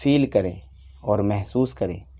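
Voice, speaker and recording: A man leading a guided meditation, giving unhurried instructions in short phrases with long pauses. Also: fairly low pitch, mellow. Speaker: meditation teacher.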